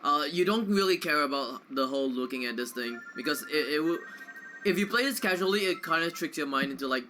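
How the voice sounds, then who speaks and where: A man talks casually through a game as he plays. His voice is bright and sits a little high, with faint noise in the background. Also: expressive, brisk, rough recording. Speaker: speedrunner commentating own play. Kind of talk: casual gameplay commentary.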